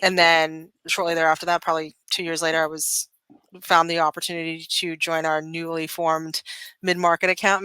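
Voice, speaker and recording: A woman's voice: low voice, sharp tone, smooth, no echo. A woman being interviewed as a sales manager, talking in a chatty professional way and often saying "like" and "kind of".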